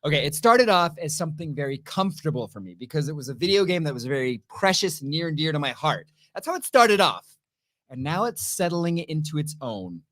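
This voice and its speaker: Animated man co-hosting, swinging pitch widely. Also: fairly quick. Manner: exclamatory, emphatic, playful. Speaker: co-host of a TV review show. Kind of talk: casual banter and storytelling.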